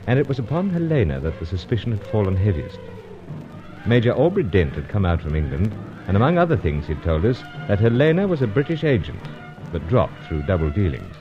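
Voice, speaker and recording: Earnest British secret agent, low voice, dramatic clipped delivery, radio adventure serial, muffled lo-fi sound. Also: male voice, big pitch swings, a little fast, over music. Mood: dramatic, grave. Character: suave earnest British secret agent, male, narrating his own adventure. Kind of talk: old-time radio adventure serial acting. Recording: dull lo-fi old radio recording.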